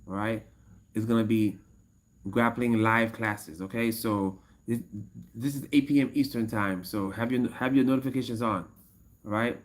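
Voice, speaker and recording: A man's voice: lowish voice, fairly even intonation, moderate pace. Chatty man in his fifties livestreaming. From United States. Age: 50s. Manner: rambling, repeats himself, laughs often. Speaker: MMA fighter and coach streaming live. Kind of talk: casual livestream chat.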